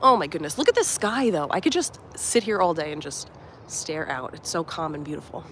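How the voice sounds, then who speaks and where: A woman's voice: low voice, sing-song, a little fast. A young woman talks to her live-stream viewers while walking outside, her voice a touch nasal from a cold. She sounds earnest and reflective and drifts through plenty of "um"s and "like"s. A faint outdoor hum sits behind her. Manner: warm, earnest, reflective, many fillers like "um" and "like". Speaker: life coach and channel host. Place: outdoors at a resort at sunrise.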